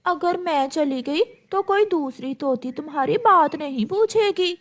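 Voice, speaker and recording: Woman as a high, wounded cartoon parrot wife. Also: animated, a little slow. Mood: hurt. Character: hurt, indignant female parrot wife. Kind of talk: Hindi children's cartoon voice acting.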